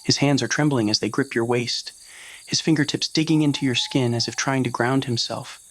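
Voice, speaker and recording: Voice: mid-range voice, fairly quick. Narrator of romantic roleplay audio.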